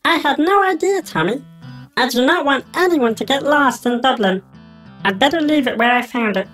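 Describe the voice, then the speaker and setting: A man putting on a high, formal voice for a friendly polite male alien in a children's story show. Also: lively intonation, normal speed, fairly bright tone, faint music behind, poor audio. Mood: earnest. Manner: formal, stiff phrasing.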